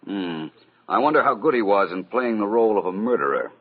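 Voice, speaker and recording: An actor playing an urbane, dry and politely probing detective with a husky voice in an old-time radio mystery. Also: male voice, fairly low pitch, lively intonation, fairly quick. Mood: wry, skeptical. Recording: muffled vintage radio recording.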